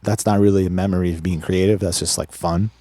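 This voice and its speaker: A young man from Israel, a guest musician telling his story in a relaxed, unhurried way, with plenty of fillers. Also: low voice, no echo. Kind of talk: casual interview.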